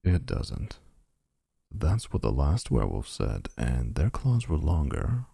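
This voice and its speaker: A man playing a gravelly, smug vampire flirt. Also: very deep voice, wildly swooping pitch, takes it slowly, warm tone, no echo, studio quality, heavily acted.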